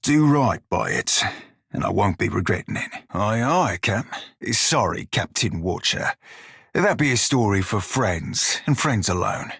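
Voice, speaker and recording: An actor playing a gruff, foul-mouthed furry pirate shipmate in a video game, with a rough-edged voice and wry, plain-spoken salty sailor's dialect. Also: male voice, fairly low pitch, expressive, measured pace, fairly bright tone, no room sound, clean studio sound, exaggerated voice. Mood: guarded, sincere. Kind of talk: video game character dialogue.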